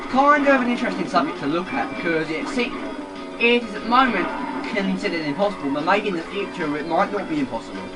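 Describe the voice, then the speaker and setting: A man co-commentating casually over gameplay, halting and often repeating his words, with game music behind him. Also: high-pitched, animated, poor audio. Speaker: gaming co-commentator.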